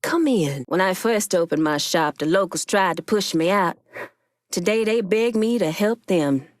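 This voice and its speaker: A woman playing a commanding, wary female gang leader in a dramatic video game, speaking deliberately and sternly with folksy turns of phrase. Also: lowish voice, animated, dry recording, clean studio sound. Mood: resolute.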